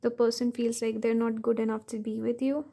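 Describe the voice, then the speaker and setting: A woman giving a pick-a-card tarot reading, her voice hoarse and strained from many readings, talking through her impressions at an unhurried pace. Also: on the high side, level tone, mellow. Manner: thinking aloud, unhurried. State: hoarse, tired voice. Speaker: tarot reader.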